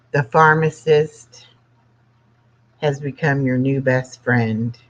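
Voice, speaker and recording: A livestream host of sixty with a medium-pitched voice speaks slowly and plainly, pausing often between short phrases. The delivery is casual, moving between reading aloud and chatting to viewers. The sound is slightly dull and lo-fi.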